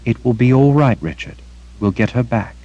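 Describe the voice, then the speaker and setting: A man voicing a young woman, a calm, steady sorceress, speaking in a soft, reassuring way. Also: mid-range voice, normal speed, no room sound, highs cut off, voice pushed up, close to an everyday voice.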